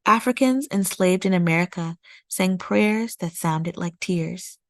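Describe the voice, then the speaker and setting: Woman reading a children's book aloud, lowish voice. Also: unhurried, no room sound, studio quality. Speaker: storytime read-aloud host. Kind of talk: scripted read-aloud narration of a children's nonfiction picture book.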